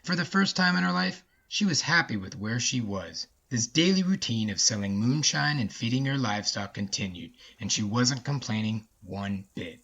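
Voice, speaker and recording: A man's voice: medium pitch, lively intonation, moderate pace, vocal fry, hardly any pauses, fairly bright tone. Male storytelling podcast host, slightly muffled. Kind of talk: story narration and casual chatty commentary.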